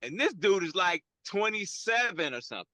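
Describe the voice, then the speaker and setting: A man with a high, bright voice tells a personal story over a video call. His pitch swings widely as he defends himself and laughs along with the others. His voice is dry and close to the mic. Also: sing-song, brisk, no room sound. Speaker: guest.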